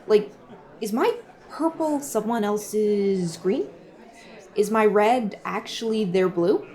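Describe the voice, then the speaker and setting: A woman's voice: mid-range voice, takes it slowly, a little mellow, natural voice. A woman playing a gushing, evasive recruiter for a secretive group in an audio drama, earnest and coy.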